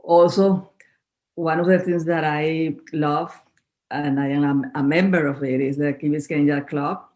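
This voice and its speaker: A woman's voice: low-pitched, fairly even intonation, a little slow, a little mellow. A woman in her fifties from Venezuela, a native Spanish speaker, answering interview questions in a podcast in an earnest, slightly formal way. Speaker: guest.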